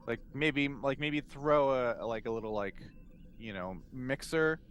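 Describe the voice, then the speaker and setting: A man's voice: mid-range voice, measured pace, a bit bright. A man, playing a tabletop game and chatting casually, thinking aloud in a hesitant, joking way. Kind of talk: casual improvised game chat.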